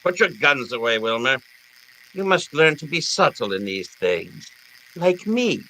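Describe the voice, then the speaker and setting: Man playing a pompous crime boss, slow and sharp-voiced. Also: medium pitch, very animated, rough recording. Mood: amused scolding. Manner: pompous, florid, courteous, deliberate. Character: genteel, wily male crime boss, pompous and greedy. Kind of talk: old time radio detective drama acting.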